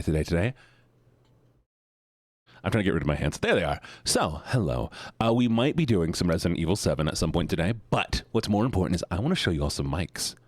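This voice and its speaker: A man streaming live with a deep voice, chatting playfully with viewers and explaining microphones into a close studio mic. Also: no room sound. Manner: playful and goofy, repeats words, sudden high-pitched yelps and barks; gushing and sincere when thanking viewers. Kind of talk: casual live-stream chat. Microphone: studio microphone at a streaming desk.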